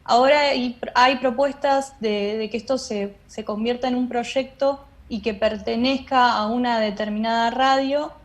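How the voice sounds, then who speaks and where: A woman's voice: a little high, fairly even intonation, a little slow, few pauses. A woman explaining her work in an interview, phrasing it a little hesitantly as she goes. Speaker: interviewed radio drama director.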